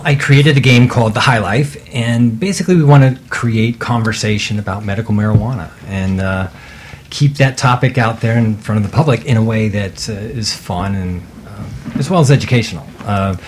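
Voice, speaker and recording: A man's voice: medium pitch, slight background noise. A man speaking as a guest on a radio talk show, loose and rambling with many fillers as he describes the board game he created. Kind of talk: casual radio interview.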